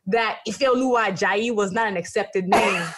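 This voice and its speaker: A woman's voice: medium pitch, normal speed, very noisy. A woman podcast host, from Nigeria, chatting and laughing, acting out what she said as a child. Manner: chatty, laughing, mimics past self. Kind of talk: casual interview chat.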